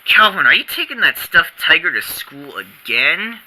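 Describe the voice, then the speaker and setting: A performer voicing a weary, patient mother with a flat, tired manner. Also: mid-range voice, moderate pace, a little hiss or hum, voice pushed up, poor audio.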